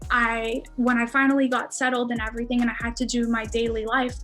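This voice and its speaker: This is a chatty young woman, a native Armenian speaker, talking as a podcast guest. Her voice sits a bit higher than usual. She fills her talk with "like" and laughs easily. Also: little pitch movement, brisk, fairly bright tone, faint music behind. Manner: chatty, many "like"s, laughs often. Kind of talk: casual storytelling.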